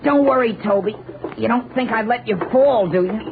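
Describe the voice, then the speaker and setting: A man's voice: very high voice, normal speed. A hapless, scheming American teenage boy in a vintage radio comedy. He sounds anxious and earnest. The recording is narrow and phone-like, with heavy noise. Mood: earnest, flustered. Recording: noisy, narrow-band old radio recording.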